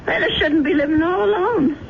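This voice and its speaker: A woman's voice: very high voice, a little fast, gravelly. An actress playing a kindly, fussy elderly landlady in a 1970s radio drama. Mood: chatty, gentle. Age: older. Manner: fussy, chatty, motherly. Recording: dull, noisy old radio broadcast.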